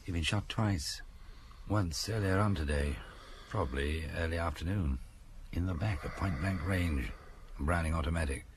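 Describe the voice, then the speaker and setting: A police officer in a radio drama acted by a man with an extremely low voice. He reports in a clipped, dutiful, matter-of-fact way, over faint background noise. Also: normal speed, natural voice. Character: brisk, dutiful male police subordinate reporting to chief.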